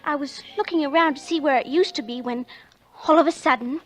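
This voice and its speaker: A woman's voice: high voice, a little fast, lightly acted. A young female actor playing an earnest, desperate little girl in a breathless, pleading 1940s radio drama style. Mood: earnest, suspenseful. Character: earnest, desperate little girl telling her scary story. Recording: 1940s radio broadcast with music.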